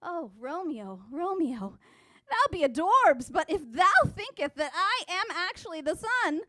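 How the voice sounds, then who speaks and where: A lovestruck teenage noble maiden from a Shakespeare spoof. Her voice is extremely high and swoops widely, drawn out slowly for effect. She is theatrical, vain and exaggerated, mixing old-fashioned English with slang. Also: big pitch swings, a bit bright, no echo, studio quality, heavily acted. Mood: exasperated, wailing. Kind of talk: comic monologue in mock-Elizabethan English mixed with slang.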